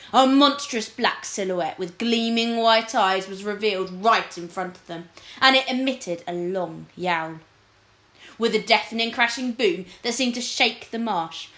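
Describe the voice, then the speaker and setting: A woman's voice: mid-range voice, a bit bright. A woman narrating a children's storybook expressively, like a teacher reading aloud. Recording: echoey room.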